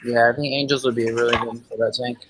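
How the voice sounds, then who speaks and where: A man's voice: medium pitch, little pitch movement, fast, noisy background. A man joining a live stream as a guest, talking casually and often restarting his sentences. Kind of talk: casual conversation.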